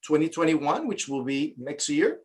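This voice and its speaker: A man speaks as a guest realtor in an interview, walking through the region in long, winding sentences. His voice sits a little higher than most men's. He fills his pauses with "uh" and "um". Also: very animated, a little mellow. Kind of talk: casual interview chat.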